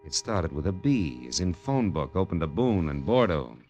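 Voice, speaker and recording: Tough, low-voiced wisecracking gumshoe, 1940s radio noir, wry and clipped, music behind an old recording. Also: male voice, very animated, normal speed, dull audio. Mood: dry narration. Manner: wry, clipped, streetwise slang. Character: tough, wisecracking male private detective narrator. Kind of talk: 1940s radio drama acting, hardboiled narration.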